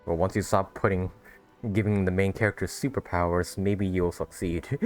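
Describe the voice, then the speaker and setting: A man's voice: low voice, steady tone, moderate pace, darkish tone, soft background music. A man giving casual commentary as he plays through a video game. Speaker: gaming video creator.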